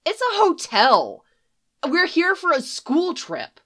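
A woman's voice: very high-pitched, huge pitch swings, slow, a bit bright, pitched above the performer's own voice, full character voice. Woman doing a mouthy, sassy eleven-year-old boy. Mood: flat, sardonic. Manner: combative, sassy back talk.

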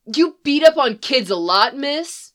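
A woman's voice: high voice, expressive, a little slow, fairly bright tone, pitched above the performer's own voice. A woman voicing a mouthy, combative eleven-year-old boy who talks back sharply in a storybook reading. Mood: argumentative. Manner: combative, sassy back talk.

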